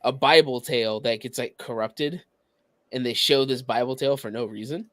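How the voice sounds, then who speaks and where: Casual man, halting explainer, close dry sound. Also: medium pitch, fairly bright tone, no room sound. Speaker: podcast co-host. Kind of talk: casual podcast chat.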